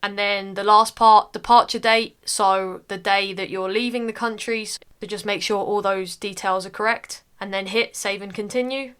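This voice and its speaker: A woman's voice: medium pitch, fairly even intonation, moderate pace, hardly any pauses, a bit bright, smooth. A young woman from the United Kingdom talking casually through an online form, with frequent "uh" fillers. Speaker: tutorial narrator. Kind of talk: casual screen-recording walkthrough.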